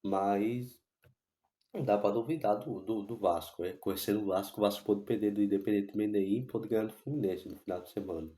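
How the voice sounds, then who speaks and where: Guy, hoarse with a cold, low flat dark voice, rambling fan talk in an echoey room. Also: fairly quick. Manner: rambling, repeats himself with many restarts and fillers. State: hoarse from a sore throat and cold. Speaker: football fan channel host. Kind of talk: casual vlog commentary on football.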